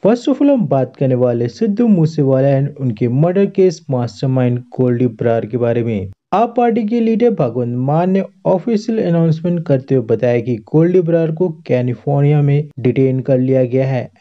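A man's voice: mid-range voice, expressive, moderate pace, hardly any pauses, muffled recording. An Indian man narrating a scripted online news roundup as its host, dropping English phrases into his delivery.

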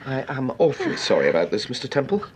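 An actor playing a courteous, anxious English gentleman in a vintage radio drama, with a British accent and hesitant, formal speech. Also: mid-range voice, huge pitch swings, fast talker. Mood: earnest, worried. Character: polite, anxious English gentleman, earnest and apologetic. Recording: noisy old radio drama recording.

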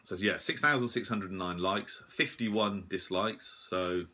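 A man in his forties giving a casual, modest, hesitant update to camera in a small room, sounding slightly rough and husky after a late night. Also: on the low side, a little slow, bright, forceful tone. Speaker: shortwave radio hobbyist and video host.

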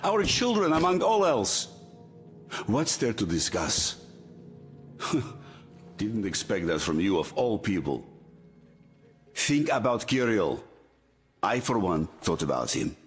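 A man voicing a weary, hard-bitten general in a video game, gruff and authoritative. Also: on the low side, big pitch swings, slow, long pauses, in a large hall, faint music behind. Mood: stern, pressing. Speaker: general in a military command. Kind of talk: dramatic video game dialogue.

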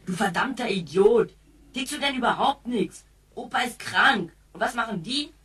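A woman playing a brash, sullen youngster in a radio play, talking in a gruff, slangy way. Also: on the high side, lively intonation, moderate pace, a bit bright. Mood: worried, bitter. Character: brash, sullen youngster worried about grandfather. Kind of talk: German radio play acting. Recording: sounds distant from the mic.

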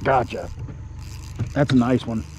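A man chatting casually and excitably with a friend while fishing, playful, salty-tongued and apt to talk to the fish. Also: medium pitch, measured pace. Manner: salty, swearing. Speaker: angler filming his own fishing outing. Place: outdoors on a boat on a lake. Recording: muffled lo-fi camera audio with outdoor noise.

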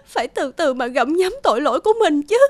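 A woman's voice: sits high, animated, fast, no room sound. A woman playing a grieving, bitter young daughter in a cartoon drama, emotional and earnest. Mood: bitter, crying. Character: grieving, bitter young daughter defending her dead father. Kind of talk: dubbed cartoon drama acting.

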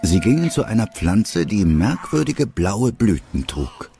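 Male narrator, radio play for families, lower register, lively delivery. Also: unhurried, creaky, slight background noise. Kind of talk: audio drama scripted narration.

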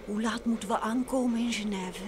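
An actress playing a detective's chatty, concerned wife in an old Dutch radio play. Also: female voice, steady tone, fairly quick, close to an everyday voice. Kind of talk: mid-20th-century Dutch radio play acting. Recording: old radio recording, clear vehicle rumble noise.

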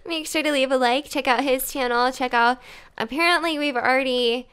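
A woman's voice: high-pitched, fairly bright tone, smooth. A young woman co-hosting a gaming video in casual banter, often protesting.

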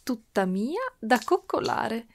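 Slow, cooing yandere dragon woman played by a woman. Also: fairly high pitch, big pitch swings, creaky, full character voice. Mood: possessive, adoring. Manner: cooing, teasing, lingering on words, giggly. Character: doting, possessive yandere dragon woman, sweet and clingy. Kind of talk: ASMR roleplay, intimate character acting.

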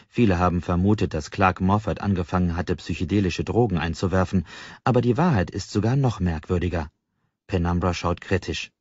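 A man's voice: low-pitched, a little mellow, slightly rough, no room sound. A man reading a novel as a scripted first-person audiobook narration. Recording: dry, slightly dull audiobook recording.

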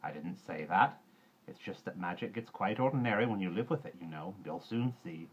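A man reads as a kindly woman guardian from a storybook, soothing and unhurried. The manner is warm and reassuring. The sound is dull, with noise in the background. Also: sits low, animated. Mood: calm, inviting. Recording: dull muffled recording with clear background noise.

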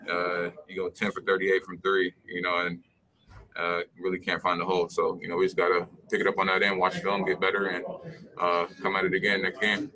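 A young man, a pro basketball player, answering post-game press questions over a video call, halting with many fillers. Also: low voice, completely flat delivery, sharp tone, slight background noise. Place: indoor media room.

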